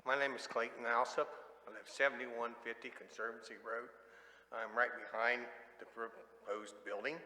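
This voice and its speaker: A man's voice: mid-range voice, level tone, moderate pace, bright, forceful tone. A man testifying as a nearby resident at a public hearing, speaking plainly about his worries. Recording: echoey hall, heard from far off.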